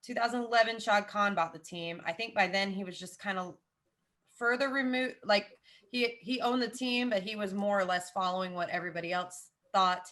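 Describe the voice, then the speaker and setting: A woman in her thirties with a Southern American accent, a podcast guest chatting casually and giving animated, opinionated takes with lots of asides and repeated emphasis. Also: medium pitch, fairly quick.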